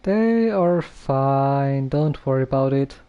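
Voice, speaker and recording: An actor voicing a calm, reassuring young male traveler in a video game, measured and understated. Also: medium pitch, muffled recording, a little hiss or hum. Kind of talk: English video game voice acting.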